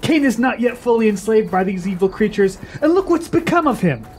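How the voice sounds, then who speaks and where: Man playing a proud, high-voiced young warrior prince. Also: very animated, brisk, darkish tone, a little hiss or hum. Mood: defiant. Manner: haughty, dramatic. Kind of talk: radio drama acting.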